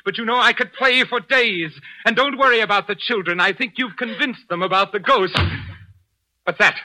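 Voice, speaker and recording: A gloating killer organist. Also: male voice, sits high, normal speed, low-quality recording. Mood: panicked, suffocating. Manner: smooth, gloating and soft-spoken, breaking into panic. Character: sinister genteel middle-aged male organist murderer. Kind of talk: 1940s radio drama acting.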